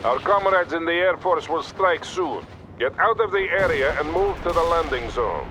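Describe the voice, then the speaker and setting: An actor playing a stern, composed Soviet army colonel with a Russian accent, giving measured orders in a military video game. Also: male voice, a little high, animated, close to an everyday voice. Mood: composed, commanding. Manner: authoritative, measured, commanding. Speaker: military commander character. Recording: battle gunfire noise behind voice. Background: gunfire, artillery and vehicles.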